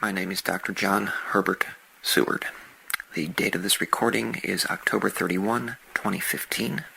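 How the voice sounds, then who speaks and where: A man playing a grave, reluctant doctor recording a formal, deliberate confession in an audio drama, his voice gravelly and rasping. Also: low-pitched, fairly even intonation, bright, forceful tone, slight background noise, full character voice, low-quality recording.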